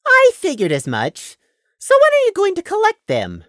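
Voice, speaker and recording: Woman doing a high polite lamb guard. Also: huge pitch swings, normal speed, a bit bright, no room sound, clean studio sound, exaggerated voice. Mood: guarded politeness. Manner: polite, evasive, strained. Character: small nervous male lamb guard clerk.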